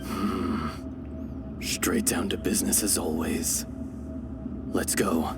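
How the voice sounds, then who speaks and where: Old dragon spirit, very low voice, unhurried, poetic, weary, fantasy game, loud music. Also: male voice, fairly even intonation. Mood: solemn, wistful. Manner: slow, solemn, poetic delivery. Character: ancient male dragon spirit in human body, weary, philosophical. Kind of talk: dramatic video game voice acting.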